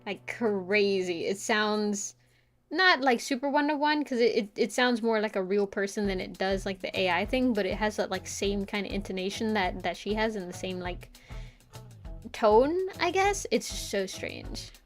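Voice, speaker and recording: A woman's voice: medium pitch, expressive, normal speed, faint music behind. A young woman chatting casually to her stream while drawing, rambling with lots of filler words and frequent giggles. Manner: giggly, playful voice play.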